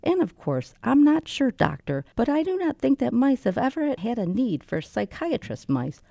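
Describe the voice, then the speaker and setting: A woman playing a gentle, eccentric spinster in an amateur radio drama, speaking in a prim and earnest way. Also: fairly high pitch, sing-song, normal speed, creaky, no room sound. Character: gentle eccentric old maid fond of mice.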